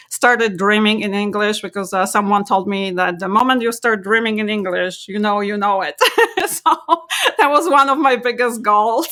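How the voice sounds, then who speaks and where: A woman's voice: mid-range voice, bright, forceful tone. A woman, telling her life story as an interview guest with frequent laughter and many filler sounds. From Poland. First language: Polish.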